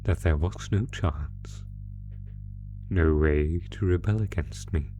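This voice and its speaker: A man playing a sadistic monster who taunts captive prey with theatrical, drawn-out menace in an ASMR horror audio roleplay. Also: low-pitched, music in the background. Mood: smug taunting.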